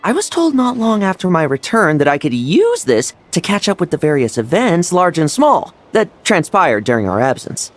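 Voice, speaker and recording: Brash young game knight, very lively delivery, faint score underneath. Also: male voice, fairly high pitch. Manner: cocky, brash, boastful. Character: young brash male knight, cocky and restless. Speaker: knight vice captain. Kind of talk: video game voice acting.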